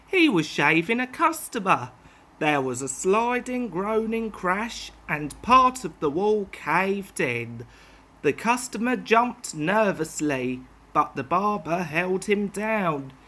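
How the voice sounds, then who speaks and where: Woman narrating a kids' storybook, slow and lively, bright tone. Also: medium pitch. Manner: slow, expressive. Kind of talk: children's story reading.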